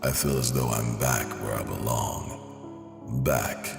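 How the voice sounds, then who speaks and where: A man voicing a somber, haunted psychiatrist narrating his journal for a comic-book drama, measured and brooding, pausing between phrases. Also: extremely low pitch, vocal fry, full character voice. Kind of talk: dramatic first-person scripted narration. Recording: reverberant echo under music.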